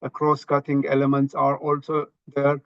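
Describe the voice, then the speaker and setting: A man giving a formal webinar presentation in a halting delivery, often repeating words as he goes. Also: medium pitch, completely flat delivery, clear, resonant tone, no echo. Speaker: presenter from a global health funding organisation. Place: online webinar call. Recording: dull, lo-fi webinar audio.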